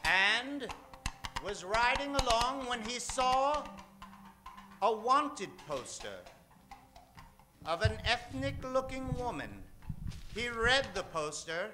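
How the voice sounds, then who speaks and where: A man announces like an old-time radio host, in a high, forceful, ringing voice. His delivery is slow and theatrical, with a tongue-in-cheek showman's ham. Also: animated, soft background music. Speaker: radio show host and narrator. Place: stage before a studio audience.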